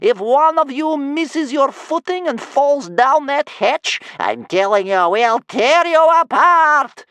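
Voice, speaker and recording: A scary old grandmother, acted out by a man in a storybook, rasping high and loud with venom. She is stern and furious, cursing with doom-laden relish in wide, swooping tones. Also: animated, unhurried, no echo, exaggerated voice. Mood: screaming rage.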